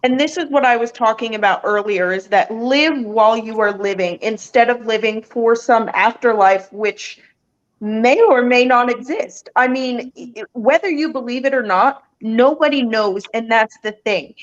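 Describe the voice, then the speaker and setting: A woman's voice: fairly high pitch, normal speed. Woman explaining in dull lo-fi audio. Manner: explanatory, speaks in plain confident statements. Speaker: guest. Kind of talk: casual video-call chat.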